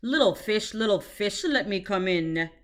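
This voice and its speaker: A woman voicing a menacing big bad male shark in a children's storybook, booming out growled threats. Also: expressive, a little slow, lightly acted.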